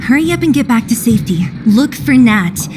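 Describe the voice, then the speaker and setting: Brisk protective fighter girl in a game, highish voice, commanding, music under it. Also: sing-song. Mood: brisk, concerned. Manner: firm, commanding. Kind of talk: game cutscene acting. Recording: music behind.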